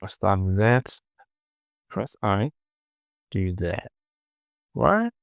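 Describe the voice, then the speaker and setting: Male tutorial presenter, unhurried and slow, dry close sound, thinking aloud in short clipped phrases. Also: lowish voice, very animated, gravelly, clear, resonant tone, no echo. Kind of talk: casual screen-recorded software tutorial, thinking aloud.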